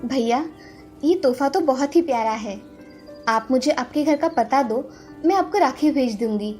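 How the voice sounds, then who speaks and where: An actress playing a sincere city woman, shaken and polite, who speaks with earnest gratitude in an animated moral story. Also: high-pitched, measured pace, soft background music. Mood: grateful.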